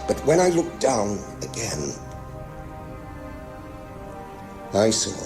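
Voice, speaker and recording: An actor playing a traumatised pilot giving halting, haunted testimony in a radio play. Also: male voice, fairly low pitch, very animated, over music. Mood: awed, uneasy. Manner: halting, haunted, broken phrasing. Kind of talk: radio play dramatic monologue.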